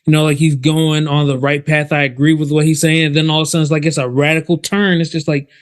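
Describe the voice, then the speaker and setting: A man co-hosting a podcast, chatting in a relaxed, slangy way with plenty of "like". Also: a little high, fast. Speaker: podcast co-host. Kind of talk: casual chat.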